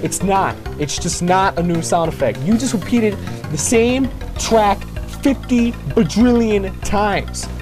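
A man's voice: high-pitched, slow, music in the background. A man giving casual, profane game commentary in a slangy, rambling, complaining way. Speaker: gamer making a playthrough video.

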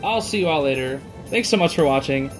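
Casting: young male reaction host, high and very lively delivery, excitable slang. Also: fairly quick. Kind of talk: casual live reaction commentary. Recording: music playing behind the voice. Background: stage show music.

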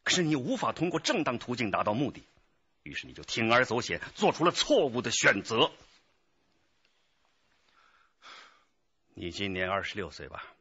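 Forceful, husky young male detective. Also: mid-range voice, big pitch swings, fairly quick, heavily acted. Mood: stern, urgent. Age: 20s. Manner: emphatic, lecturing. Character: stern young male detective, righteous, earnest, pressing a suspect. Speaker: police interrogator. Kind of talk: radio drama acting. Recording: dull, muffled lo-fi audio.

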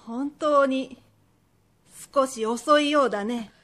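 Stern merchant matron, woman acting, high voice, period radio drama, old-fashioned firm speech. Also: slow, a bit bright. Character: stern, proud merchant's wife and mother in Edo.

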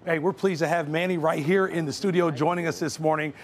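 A man's voice: a little high, fairly even intonation, brisk. A man in his forties hosting a morning news interview in a broadcast style, recorded in a TV studio.

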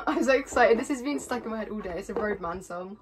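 A woman's voice: fairly high pitch, lively intonation, a little fast. A young woman hosting a casual video, chatting and explaining a game in a bedroom. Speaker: vlog host. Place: small bedroom indoors, sitting on a bed. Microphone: camera a couple of metres away. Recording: home camera recording with clear noise.

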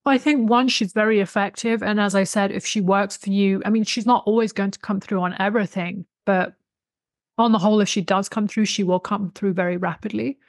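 A woman's voice: medium pitch, fairly even intonation, brisk, dry recording. Emphatic woman, close dry mic. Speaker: interview guest, author and expert on folk spirituality. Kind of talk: conversational interview explanation. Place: small indoor room with bookshelves, video call. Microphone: desktop USB condenser microphone, close.

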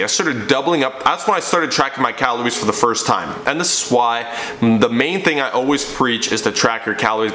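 A man's voice: medium pitch, fairly bright tone, slight background noise. A young man and fitness coach telling his personal story to the camera from a living room, emphatic and preachy. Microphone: camera in front, seated framing. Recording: echoey room with faint ambience.

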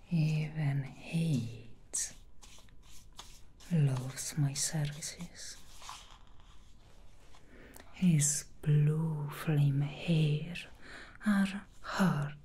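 A woman voicing an alluring female demon hairstylist in a whispered ASMR roleplay, speaking in a breathy whisper close to the microphone with slow teasing hums between lines. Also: sits low, mellow, slight background noise. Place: small dressed studio set indoors. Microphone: close sensitive microphone.

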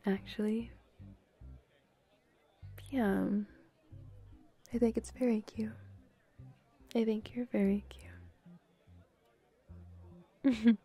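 A bubbly young girlfriend played by a woman in an intimate roleplay audio, teasing and affectionate. Her voice is fairly high yet soft and mellow, recorded close and dry, and she talks in an unhurried, giggly, breathy way. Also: dry recording, heavily acted. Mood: tender teasing.

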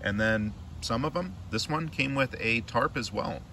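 A man in his thirties reviewing a camping hammock in a relaxed, chatty way with many fillers, recorded outdoors in the woods. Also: medium pitch, unhurried, vocal fry. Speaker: channel host reviewing gear. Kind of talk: casual product review. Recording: distant outdoor camera mic, light noise.

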